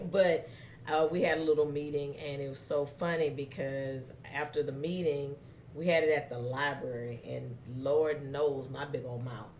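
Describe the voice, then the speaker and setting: A woman hosting a talk show. She tells stories animatedly, with a loud voice that carries and lots of fillers. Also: low voice, a little slow, echoey room, a crowd in the background. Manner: loud voice that carries, lively storytelling, many fillers. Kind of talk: casual chat.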